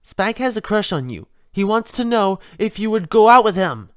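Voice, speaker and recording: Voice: medium pitch, very animated, normal speed, fairly bright tone, clear, resonant tone, dry recording, studio quality, pitched above the performer's own voice. A narrator voicing a bossy, blunt young female pony in a storybook reading, impatient and exasperated.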